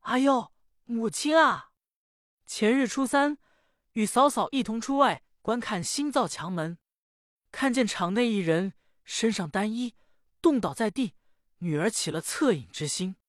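A terrified young lady of a great house, high and wailing, pleading for her life, played by a woman storyteller. Dry, close sound. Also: lively intonation, measured pace, no echo. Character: young noble lady, frightened and tearful.

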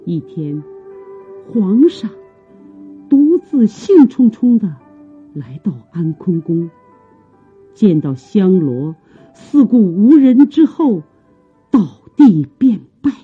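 A woman's voice: medium pitch, very animated, slow pace, long pauses, husky. A woman narrating a radio drama in a dramatic, scripted style. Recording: phone-like, muffled audio under music. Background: music.

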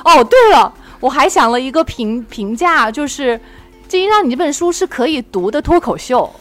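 Woman host, very high voice, lively swooping intonation, effusive, casual chat, music underneath. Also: moderate pace. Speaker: podcast host.

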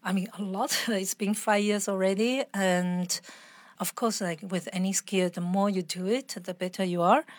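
A woman with a mixed international accent talking as an interview guest, reflective, earnest and expressive in a conversational style. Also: mid-range voice, moderate pace, no echo.

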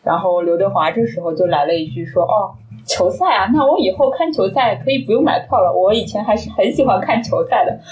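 A woman's voice: mid-range voice, expressive, a little fast, a little mellow, a little hiss or hum, rough recording. A woman co-hosting a casual film chat, conversational and inclined to retell scenes with quoted dialogue. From Shanghai, China. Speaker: podcast co-host. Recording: music playing behind the talk. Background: background music.

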